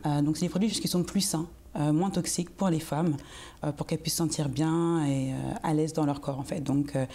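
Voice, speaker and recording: A woman's voice: low voice, steady tone, brisk, mellow. A young woman from France, a guest in a TV studio interview, explaining earnestly with many fillers. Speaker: guest, cosmetics entrepreneur. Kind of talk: interview answers.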